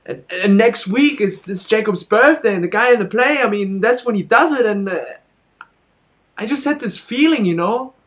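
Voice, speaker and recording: A young man performing a dramatic monologue as an anxious, guilt-ridden student, speaking haltingly and repeating his words. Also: high voice, animated, fairly bright tone. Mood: anxious, uneasy. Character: anxious, guilt-ridden student confiding about a troubled friend.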